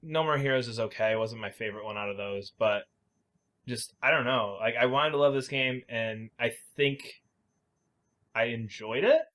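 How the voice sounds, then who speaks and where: A man co-hosting a podcast, talking informally about video games and thinking out loud as he weighs his opinions. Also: mid-range voice, moderate pace. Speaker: podcast co-host.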